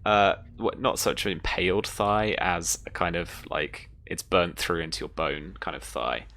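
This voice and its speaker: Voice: low voice, measured pace, a bit bright. A game master running a tabletop roleplaying game in a casual way, talking players through rules and outcomes.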